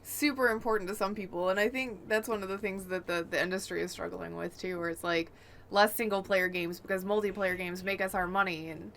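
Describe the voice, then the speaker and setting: A woman's voice: medium pitch, fairly even intonation, brisk, fairly bright tone. Woman chatting over background noise. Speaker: podcast co-host. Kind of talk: casual conversation.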